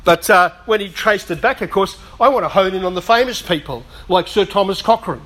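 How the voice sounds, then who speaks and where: A middle-aged man preaching a sermon in a conversational way, with humorous asides, slightly hoarse as he is losing his voice. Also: sits high, expressive, a little fast, a bit bright. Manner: conversational, self-deprecating humour, frequent asides. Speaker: pastor.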